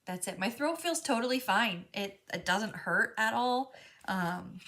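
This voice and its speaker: A woman's voice: medium pitch, expressive, unhurried, bright, forceful tone. A woman leading a live crafting class in a chatty, friendly way, with frequent ums and a stuffy, froggy voice from a cold. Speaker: craft instructor.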